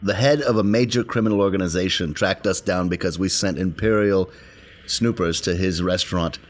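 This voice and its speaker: A man playing a tabletop game, talking casually and haltingly as he thinks aloud. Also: low voice, fairly even intonation. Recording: faint background noise.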